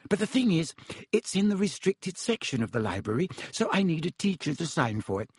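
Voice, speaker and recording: A man's voice: a little high, very animated, a little fast, breathy edge, no echo, voice pushed up, heavily acted. A man voicing an earnest, nervous, studious young schoolgirl in a storybook, with a hesitant, eager manner. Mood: nervous, pleading. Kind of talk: audiobook character acting.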